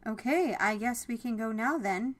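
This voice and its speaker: A woman's voice: a little high, normal speed, pitched above the performer's own voice, lightly acted. A woman voicing a guarded, exasperated young female executive with a curt, skeptical manner in a dramatized audiobook. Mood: reluctant, polite. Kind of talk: dramatized audiobook character dialogue.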